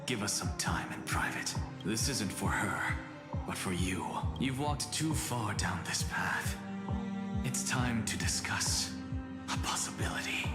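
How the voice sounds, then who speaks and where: A man acting a grave, stern warrior in a video game, earnest and reproachful with breathy, measured intensity. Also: lowish voice, animated. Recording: echoing hall reverb, loud game music.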